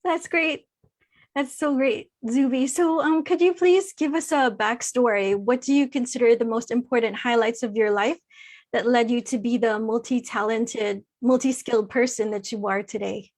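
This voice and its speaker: A woman's voice: a little high, steady tone, normal speed, a little mellow. A woman hosting an interview, asking polite, formal questions. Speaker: host.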